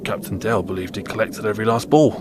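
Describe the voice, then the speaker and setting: An actor playing a blunt, world-weary British army sergeant of the First World War in an audio drama, with a colloquial London accent. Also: male voice, fairly low pitch, fast, noisy background, lightly acted. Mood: weary, recounting.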